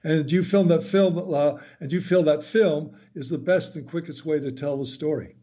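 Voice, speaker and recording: A man's voice: on the high side, moderate pace, dark tone. A man hosting a radio interview show in a conversational style. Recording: dull lo-fi audio.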